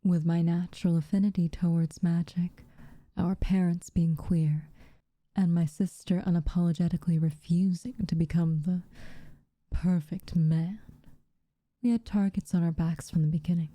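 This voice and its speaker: A woman playing an aloof, teasing but secretly caring witch in a soft, breathy, intimate ASMR roleplay. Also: on the low side, steady tone, dry recording, natural voice. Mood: somber, matter-of-fact. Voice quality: soft, breathy, often near a whisper. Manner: unhurried, hushed, dryly teasing.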